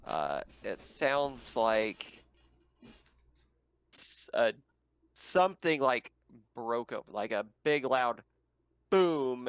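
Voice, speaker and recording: A man speaking as game master, narrating a tabletop roleplaying game in a halting, conversational, descriptive way. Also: mid-range voice, smooth, no room sound.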